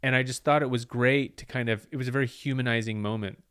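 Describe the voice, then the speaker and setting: A man's voice: mid-range voice, steady tone, quick pace, fairly bright tone, smooth. A man, a comedian, telling a rambling story in casual chat and acting out the quoted lines.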